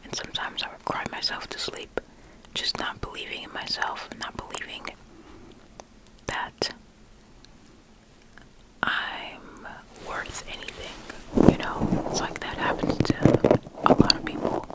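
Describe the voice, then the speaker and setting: An ASMR performer speaking in a breathy, unvoiced whisper, slowly and soothingly, gently reassuring and repeating words softly, close to the microphone. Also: low-quality recording. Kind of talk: ASMR personal attention roleplay.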